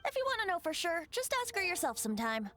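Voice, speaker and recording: An actor voicing a playful, carefree wandering bard in a video game, teasing and lilting. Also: male voice, very high-pitched, wildly swooping pitch, fast talker, over music, heavily acted. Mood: coy.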